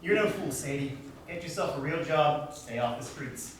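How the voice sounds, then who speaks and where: A woman's voice: low voice, lively intonation, moderate pace, distant mic, slight background noise. A woman playing a world-weary, scornful police inspector in a stage comedy, with a dry delivery. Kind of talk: musical comedy stage acting. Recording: echoey hall recorded from afar, piano.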